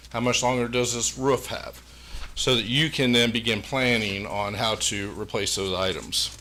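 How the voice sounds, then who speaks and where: A man's voice: sharp tone, slight background noise. A man, presenting to a school board in a folksy way, full of "uh" fillers. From Pulaski County, Virginia, USA. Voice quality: big booming voice. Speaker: engineering firm presenter. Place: public meeting room. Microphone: meeting room microphone.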